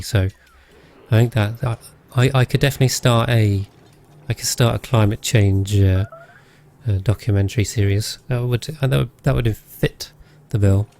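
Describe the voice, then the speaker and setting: A British man streams a game in a fairly low, calm voice. He talks casually to his viewers and thinks aloud as he goes, measured and unhurried. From Britain. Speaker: live streamer, host. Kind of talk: casual game commentary while chatting with viewers.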